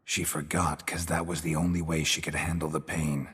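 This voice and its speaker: A man playing a stoic, world-weary monster hunter in a video game, with a raspy voice and a terse, deadpan delivery. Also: rumbling low, normal speed, big-room sound, heavily acted. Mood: earnest persuasion. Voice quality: gravelly, raspy. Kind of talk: video game cutscene acting.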